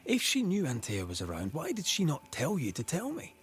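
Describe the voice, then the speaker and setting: A man's voice: on the high side, huge pitch swings, a little fast, a little hiss or hum. A man playing a serious, wary ghost hunter in a video game, probing and direct. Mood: wary, questioning. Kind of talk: video game cutscene acting.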